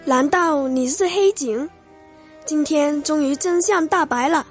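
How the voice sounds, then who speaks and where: A woman's voice: sits high, unhurried, faint music behind. A female performer playing an earnest young male police officer in love, in an amateur school radio drama.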